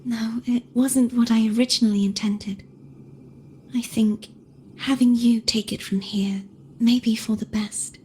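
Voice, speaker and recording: A gentle young heroine of a fantasy game, played by a woman, speaks slowly in a fairly high, breathy voice. She sounds solemn and weary, with soft ambience and noise behind her. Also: steady tone, lightly acted. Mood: soft, reflective. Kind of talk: dramatic video game dialogue.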